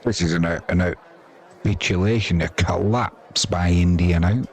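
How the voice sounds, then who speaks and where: A man's voice: sits low, a little slow. A man giving casual live cricket commentary in a wry, teasing, chatty manner. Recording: crowd noise behind the voice.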